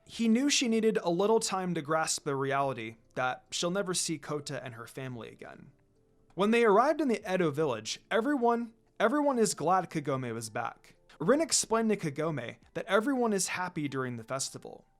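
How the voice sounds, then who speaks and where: A man narrates a fan fiction story aloud, his voice sitting a little high and moving freely up and down. He softens and quiets his delivery whenever a character speaks. Faint music plays underneath him. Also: sing-song, normal speed. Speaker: fanfiction reader.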